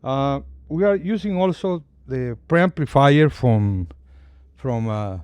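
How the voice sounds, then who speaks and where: Slow, halting man with non-native English accent. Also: mid-range voice, lively intonation, darkish tone, smooth, dry recording, lo-fi sound. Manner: halting, searching for words. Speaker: company CEO presenting products. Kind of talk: unscripted product presentation.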